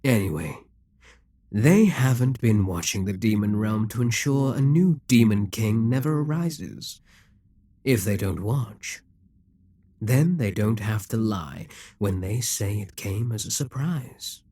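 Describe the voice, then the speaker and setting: A man voicing an immortal, scheming and possessive demon king in an audio roleplay, speaking in a measured, persuasive way with quiet whispered asides. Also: lowish voice, lively intonation, dry recording.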